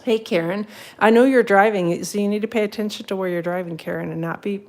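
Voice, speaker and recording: A woman's voice: medium pitch, quick pace. Chatty sniffly woman host. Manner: chatty, rambling, greets viewers by name, laughs often. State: sniffly with a cold. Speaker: papercraft livestream host. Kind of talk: casual livestream demo.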